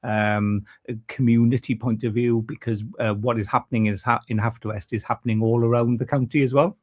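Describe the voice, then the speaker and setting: A man's voice: mid-range voice, fairly even intonation, fairly quick. A man, a guest on a radio show, talking about a festival with many fillers and restarts. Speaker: festival committee vice chair. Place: radio studio.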